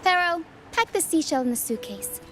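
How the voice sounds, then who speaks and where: A woman playing a calm, quirky young female adventurer in a video game, measured and gently playful. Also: extremely high pitch. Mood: gently cheerful. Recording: loud game music behind the voice. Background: game music.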